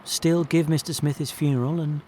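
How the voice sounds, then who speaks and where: A man's voice: fairly high pitch, fairly even intonation, normal speed, mellow, slight background noise, lightly acted. A man with a British accent playing an earnest, touchy jobbing actor in a naturalistic audio drama, speaking colloquially and hesitantly. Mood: tentative, scheming. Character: jobbing actor John, earnest, wistful, touchy, working a council clearance job.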